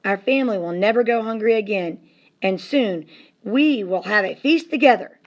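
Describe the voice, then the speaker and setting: A woman voicing an earnest, steadfast older brother, a railroad laborer in a storybook, in a measured way. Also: mid-range voice, muffled recording, noisy background, natural voice. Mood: reassuring.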